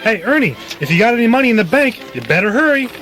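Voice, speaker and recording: A man's voice: very high voice, big pitch swings, fast, a bit bright, faint music behind, heavily acted. A man playing an insistent, agitated townsman in a comic radio reading. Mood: urgent. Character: agitated, insistent townsman wanting his money. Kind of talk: comic radio-show reading of a film script.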